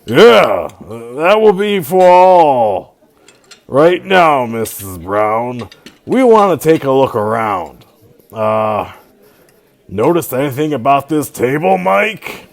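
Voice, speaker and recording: Man playing a slow-talking, gravelly homicide sergeant. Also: mid-range voice, sing-song, exaggerated voice. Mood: probing. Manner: deliberate, authoritative questioning. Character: brisk, methodical male police homicide sergeant. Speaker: actor. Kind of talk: old-time radio drama acting.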